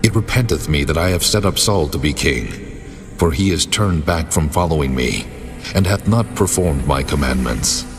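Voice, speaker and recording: A man's voice: a bass voice, lively intonation, moderate pace, soft background music. A man voicing God in a dramatized scripture reading, grave and solemn. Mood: sorrowful. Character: the Lord, a solemn divine male voice. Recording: long reverb around the voice.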